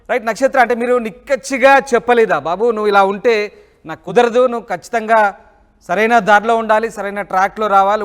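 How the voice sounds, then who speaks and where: A man's voice: sits high, fairly bright tone. A man anchoring a live news interview, pressing and rephrasing his questions insistently.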